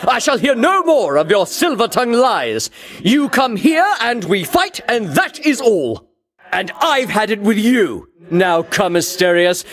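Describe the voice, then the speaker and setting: A vainglorious champion of ancient Greece, easily outraged and utterly pompous. His high-pitched voice swoops dramatically through haughty, old-fashioned declarations, in the grand theatrical manner of a video game rival. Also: fairly bright tone, heavily acted. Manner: bombastic, grandiloquent, archaic diction, haughty. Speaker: video game character voice actor.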